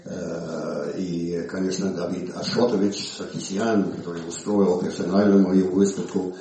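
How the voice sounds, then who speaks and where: An older man, an English speaker, presenting his book in Russian with a halting manner, recovering from a cold. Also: low voice, steady tone, dark tone, a little hiss or hum. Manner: halting, many hesitations and restarts. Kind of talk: informal presentation speech. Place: museum hall. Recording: echoey hall, from far off.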